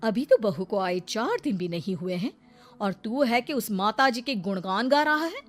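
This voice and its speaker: A woman's voice: on the high side, expressive, moderate pace, natural voice. Actress as bossy middle-aged mother-in-law, scornful and modern, story-cartoon dialogue over music. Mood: complaining. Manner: bossy, sprinkles English words.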